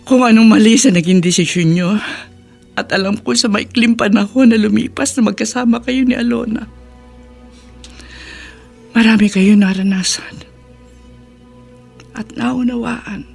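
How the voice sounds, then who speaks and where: A woman acting a middle-aged, loving but firm mother in a Filipino radio drama, with a breathy, husky voice and an emotional, pleading manner. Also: fairly high pitch, fairly even intonation, measured pace, long pauses, darkish tone, heavily acted. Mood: tearful, pained. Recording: background music under the voice.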